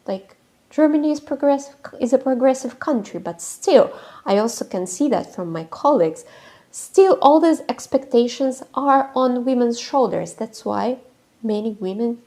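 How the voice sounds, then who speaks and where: A woman's voice: on the high side, animated, normal speed, dark tone. A woman YouTuber giving casual, frank commentary, hesitating often with fillers and restarts.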